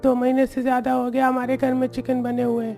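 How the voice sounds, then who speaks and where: Cartoon child, pleading and whiny, high and creaky played by a woman. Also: monotone, a little fast, mellow, soft background music. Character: pleading young child begging for chicken. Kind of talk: Hindi cartoon story acting.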